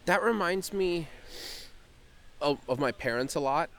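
A man guesting on a podcast in casual chat. He talks in a dry, deadpan way and keeps cutting in with quizzing questions. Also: a little high, measured pace.

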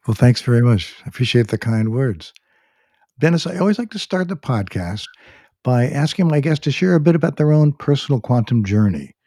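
A man's voice: mid-range voice, big pitch swings, brisk, mellow, dry recording, clean studio sound. A man hosting a tech podcast, formally introducing his guest and asking long, polite questions. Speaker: podcast host. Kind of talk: interviewing a guest.

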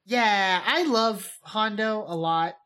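A woman's voice: medium pitch, slow, fairly bright tone. A woman co-hosting a podcast, chatting casually and enthusiastically. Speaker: podcast co-host. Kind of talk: casual chat.

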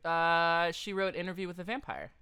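A man co-hosting a podcast in casual comedic chat, jokey and quick to riff or interject. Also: on the high side, pushed and bright, clear, resonant tone, no echo. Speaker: podcast co-host.

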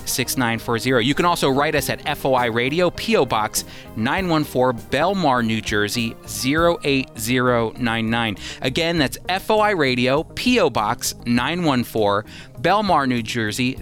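A male radio host speaks in a friendly, clear voice at a medium pitch. He repeats the details carefully, with faint music playing behind him. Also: fairly bright tone. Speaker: radio show host and teacher. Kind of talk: radio announcement.

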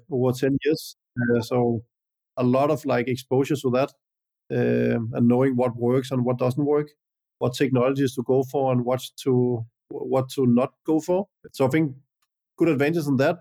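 A man from Denmark, speaking English as a non-native speaker, calmly answers interview questions as a guest entrepreneur with frequent "uh" and "like" hesitations. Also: medium pitch. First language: Danish. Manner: measured, many "uh" and "like" hesitations.

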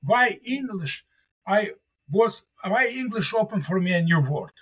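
Interview guest: husky-voiced middle-aged man, Russian native, pitched fairly high, emphatic and earnest, repeats key words for weight. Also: expressive, measured pace, a bit bright. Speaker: mathematics professor.

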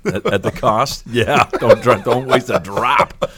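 A man's voice: medium pitch, measured pace, a crowd in the background. A man hosting a talk podcast with casual, jokey, enthusiastic banter. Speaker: podcast host.

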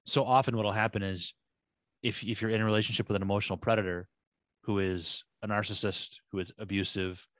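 A man's voice: lowish voice, fairly even intonation, fairly quick, no room sound. A man co-hosting a podcast who rambles and thinks aloud with many restarts. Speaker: podcast co-host. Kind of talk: casual conversational chat.

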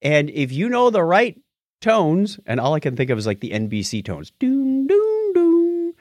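Male co-host, American, chatty and chuckly, very animated intonation, dry sound. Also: sits high, measured pace, no echo. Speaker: podcast co-host. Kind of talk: casual news discussion.

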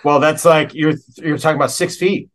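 A man's voice: a little high, fairly even intonation, a little fast, a bit bright. A middle-aged American man chatting casually as an interview guest, enthusiastic, rambling and a little self-aware.

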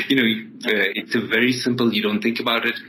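A man's voice: mid-range voice, fairly even intonation, a little fast, sharp tone, a little hiss or hum, rough recording. A man from Ethiopia, a startup founder, explaining things conversationally as an interview guest.

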